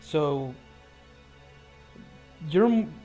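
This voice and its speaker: A man's voice: on the high side, animated, extremely slow, warm tone, soft background music. A man acting as game master, narrating a tabletop roleplaying fight in vivid, gory detail and breaking off for rules talk.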